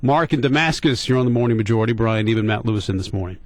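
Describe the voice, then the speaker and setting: A man's voice: medium pitch, racing, no room sound. A middle-aged American man hosting talk radio, telling a casual story in a conversational, questioning way and repeating points for emphasis. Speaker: radio talk show host. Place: radio studio.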